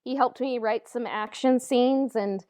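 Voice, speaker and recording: A woman co-hosting a podcast, chatting casually in a halting, informal way. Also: on the high side, steady tone, warm tone, no room sound, clean studio sound. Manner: halting, informal, frequent "like" and "you know". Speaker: podcast co-host and audio drama writer. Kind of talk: casual conversational chat.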